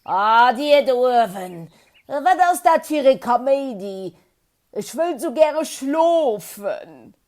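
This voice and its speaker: A woman's voice: high-pitched, expressive, darkish tone, pitched above the performer's own voice. A woman voicing a stubborn, curious turtle in a children's story, with an exclaiming, wheedling manner. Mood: grumpy. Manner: wheedling, exclaiming, drawn-out pleading. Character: curious, stubborn, pleading turtle, sleepy and grumpy.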